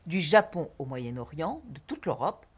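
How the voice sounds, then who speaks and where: A lofty condescending film teacher, low husky voice that swings wide, film drama. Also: female voice, big pitch swings, normal speed, exaggerated voice. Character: haughty, self-important female film teacher. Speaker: private film and art teacher.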